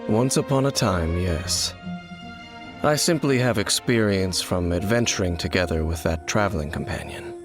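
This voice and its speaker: Guy voicing a mysterious tired knight, lowish voice, formal and reserved, game music playing behind him. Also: normal speed, close to an everyday voice. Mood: weary, wistful. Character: solemn, world-weary mysterious male knight. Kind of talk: video game dialogue acting.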